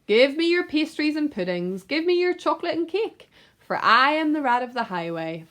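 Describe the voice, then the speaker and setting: A storybook rat highwayman voiced by a woman, greedy and bullying. The delivery is commanding and full of scorn. The pitch leaps about as he blusters through rhyming demands. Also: sing-song, normal speed, a bit bright. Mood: bullying, demanding. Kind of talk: rhyming picture-book read-aloud.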